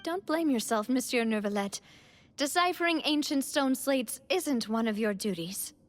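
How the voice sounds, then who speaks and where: A woman's voice: high voice, lively intonation, normal speed, no echo. An actress playing a thoughtful young woman in video game dialogue, reasoning aloud in an earnest way.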